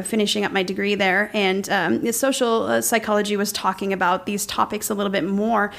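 A woman's voice: medium pitch, a bit bright. A middle-aged woman chatting on a podcast, telling a personal story in an earnest, rambling way with many restarts and fillers. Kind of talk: casual conversational storytelling.

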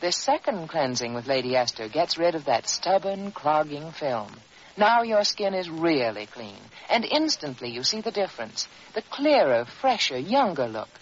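Woman reading old 1940s radio ad, very animated, emphatic and instructive, muffled sound with some hiss. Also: low-pitched, normal speed. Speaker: advertising spokeswoman. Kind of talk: 1940s radio commercial, scripted. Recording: hissy, dull old radio recording.

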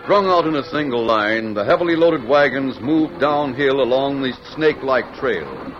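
A man's voice: mid-range voice, expressive, moderate pace. Dramatic male narrator, vintage radio. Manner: formal, dramatic storytelling. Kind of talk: scripted old-time radio western narration. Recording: muffled vintage radio, music underneath.

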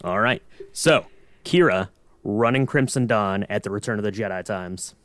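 A man's voice: fairly low pitch, moderate pace, a bit bright, no echo. A man co-hosting a podcast in casual, joking chat. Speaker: podcast co-host.

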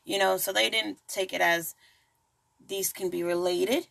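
Slow, gravelly woman podcaster. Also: mid-range voice, steady tone, fairly bright tone. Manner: chatty, asides and jokes. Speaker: podcast host. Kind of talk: casual true crime podcast chat.

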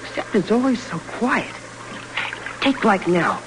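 An actor in an old-time radio drama playing a chatty, wistful young expedition cook with folksy, rustic speech. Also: male voice, high voice, very animated, unhurried, background noise. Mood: dreamy, chatty. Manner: folksy, rustic colloquial talk.

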